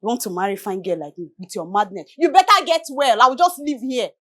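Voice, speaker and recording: A woman's voice: a little high, quick pace, sharp tone. A woman playing a young fiancée who scolds and mocks her partner. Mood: scolding. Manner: scolding, mocking. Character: sharp-tongued young fiancée.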